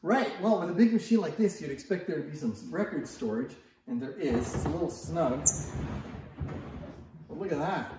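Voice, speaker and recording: The recording rings with strong room echo. A man with a somewhat high-pitched voice presents in a casual, unscripted way. He is conversational and muses aloud as he goes. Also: moderate pace, a little mellow, a little hiss or hum. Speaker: presenter of antique phonograph demonstrations. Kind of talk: casual unscripted show-and-tell commentary. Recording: very echoey, reverberant room.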